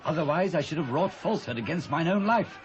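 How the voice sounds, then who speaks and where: A man's voice: fairly high pitch, expressive, fast, natural voice. A British man playing an earnest, principled common soldier in a dramatised scripture reading in archaic English. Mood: earnest, defiant. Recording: muffled cassette transfer, clear background noise.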